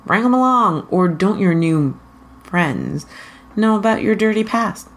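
A woman voicing a brash, sly man in a story, an eager engineer and former henchman, in a bright, pushy manner. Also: mid-range voice, lively intonation, measured pace, voice pushed up. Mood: pushy, needling.

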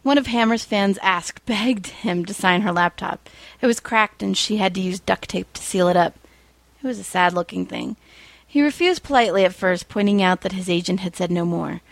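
A woman reading fan fiction aloud as an expressive storyteller, with a wry, informal manner. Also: mid-range voice, moderate pace, gravelly, dry recording.

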